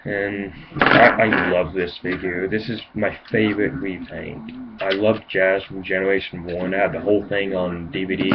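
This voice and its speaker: A seventeen-year-old male with a speech impediment that blurs his words, giving a hesitant, rambling amateur toy review in a casual way. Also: on the low side. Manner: hesitant, self-conscious, halting phrases. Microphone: home video camera. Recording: muffled lo-fi camera mic, clear noise.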